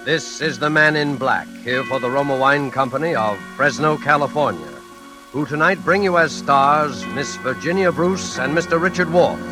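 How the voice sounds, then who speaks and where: A man announcing a 1940s radio suspense show in a formal, ominous manner, with deliberate dramatic pauses. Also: on the high side. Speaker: announcer and host. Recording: old radio recording, loud music behind.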